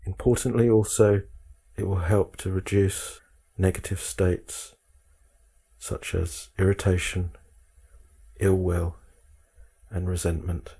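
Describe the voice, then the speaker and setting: A man leads a guided meditation in a deep, dark voice. The tone is husky and relaxed. He delivers calm instructions in short, measured phrases, leaving space between them.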